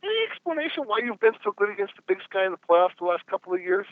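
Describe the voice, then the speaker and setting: A male reporter talks quickly over a thin telephone line, his voice a little on the high side. He puts his questions politely and in wordy phrasing, as on a press call. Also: animated, no echo. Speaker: newspaper reporter. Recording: phone-like line.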